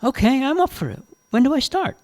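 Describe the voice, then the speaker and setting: A man voicing Jane, a bold young woman who sells cars, with a high, eager manner. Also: animated, a little fast, no echo. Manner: chirpy, eager, pitched up high.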